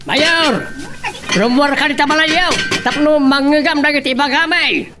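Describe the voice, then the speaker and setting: A man's voice: very high voice, a little slow. A man with an Ilocano Filipino accent playing an insistent older farmers' leader in a radio drama. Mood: demanding.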